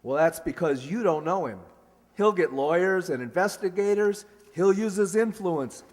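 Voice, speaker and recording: A man acts a flustered, earnest young bachelor in a radio comedy. His pitch is high and jumps about as he stammers, and the sound has the long echo of a hall. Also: lively intonation, moderate pace, a little mellow. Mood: alarmed. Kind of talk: old-time radio comedy acting. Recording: echoey hall stage recording.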